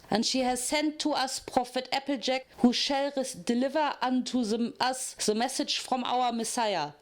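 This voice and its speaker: A woman's voice: a little high, natural voice. A woman voicing a fanatical, theatrical pony official who proclaims pompously in a dramatic fan fiction reading.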